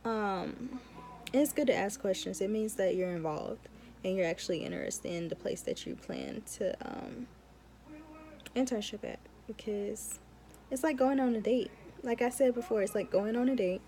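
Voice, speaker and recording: A young woman talks in a casual, chatty vlog style at a middle pitch. She is informal and emphatic, repeating words for effect as she gives advice like a student talking to friends. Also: sing-song, normal speed, mellow. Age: young adult. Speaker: law student vlogger.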